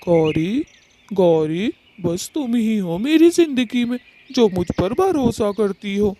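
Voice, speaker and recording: A woman voicing a sly male cartoon bird husband, pleading innocence in a flattering, self-pitying manner. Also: medium pitch, takes it slowly, a little hiss or hum. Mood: flattering, wheedling.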